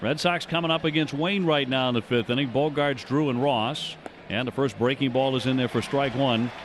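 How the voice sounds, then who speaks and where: A man with an American accent and a medium-pitched voice calls baseball live for radio. His delivery is measured and descriptive, with faint stadium noise behind him. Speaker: radio baseball play-by-play announcer. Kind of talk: live baseball play-by-play commentary. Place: ballpark broadcast booth.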